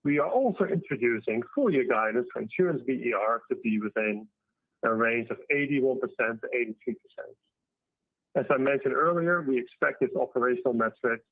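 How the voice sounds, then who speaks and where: A man's voice: mid-range voice, no echo. Man on a tinny phone line, formal. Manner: formal, measured reading. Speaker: company finance executive. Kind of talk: scripted earnings call presentation. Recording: tinny phone-line conference call audio.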